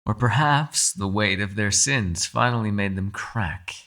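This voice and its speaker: A man's voice: on the low side, a little slow, pushed and bright. A man playing a captive kitsune, a sly and menacing fox spirit, with silky, taunting, mock-polite delivery in an ASMR roleplay. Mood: proud, cool.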